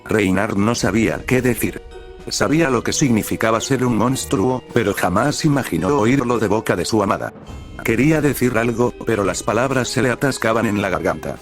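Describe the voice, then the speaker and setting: Male fanfic narrator, lowish voice. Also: moderate pace, faint music behind. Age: young adult. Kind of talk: audiobook-style story narration.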